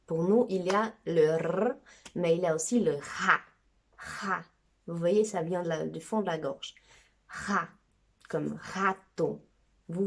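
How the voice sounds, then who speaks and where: Brazilian woman, 20s, Brazilian Portuguese accent, low-ish voice, slow chatty amateur teacher. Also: darkish tone. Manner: chatty, repeats sounds slowly to demonstrate. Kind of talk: casual video lesson.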